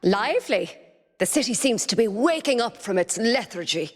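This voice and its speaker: A friendly robot citizen from a video game, speaks with lively pitch. She is warm and lightly teasing, in long reverb. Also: normal speed, faint music behind. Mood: cheerful.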